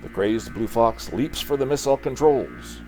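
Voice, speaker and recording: A man's voice: mid-range voice, normal speed, darkish tone, faint music behind. An older man from Canada reading a comic book aloud as a dramatic storyteller.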